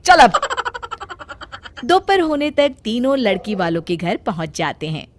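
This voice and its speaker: A woman narrating a scripted cartoon story in a calm, even way. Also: medium pitch, moderate pace.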